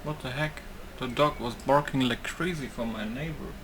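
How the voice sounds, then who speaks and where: A man's voice: medium pitch, normal speed, fairly bright tone. Offhand man gaming over noisy background. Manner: offhand, sweary. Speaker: gaming video creator. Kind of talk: casual let's play commentary.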